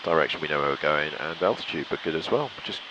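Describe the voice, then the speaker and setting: A man's voice: a bass voice, fast talker, lo-fi sound. A man flying a light aircraft, giving a casual running commentary to himself. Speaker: student pilot vlogging in flight. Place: small light aircraft cockpit in flight. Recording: steady light-aircraft engine noise. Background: aircraft engine noise.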